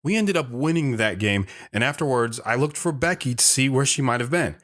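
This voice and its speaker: A man's voice: mid-range voice, expressive, fairly quick, a bit bright. A man narrating his own past as a scripted drama, telling it plainly while looking back.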